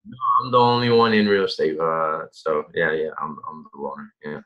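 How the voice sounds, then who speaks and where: A man in his thirties from the Dominican Republic, answering interview questions in a relaxed, rambling way with many fillers and restarts. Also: extremely low pitch, normal speed, highs cut off. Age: 30s. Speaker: interview guest, real estate developer.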